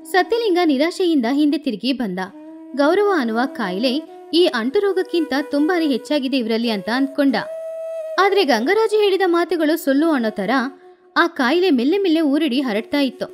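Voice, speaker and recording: A woman narrating a scripted moral story with expressive, animated delivery. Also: high-pitched, normal speed, soft background music.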